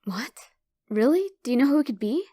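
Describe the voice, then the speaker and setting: A woman's voice: sits high, expressive, brisk, mellow, husky, no echo, clean studio sound. A woman playing an earnest, loyal police officer in an audio crime drama. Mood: surprised.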